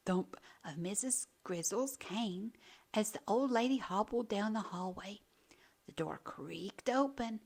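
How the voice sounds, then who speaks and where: A woman reading a children's picture book aloud as a storyteller, in an animated narrating style. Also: mid-range voice.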